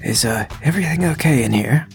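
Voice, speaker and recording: A man voicing a polite, agreeable and slightly fussy gentleman neighbor in a tabletop game. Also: fairly high pitch, expressive, a little fast, creaky, faint music behind, voice pushed up. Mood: polite curiosity. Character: genteel, gullible neighbor man with bowler hat.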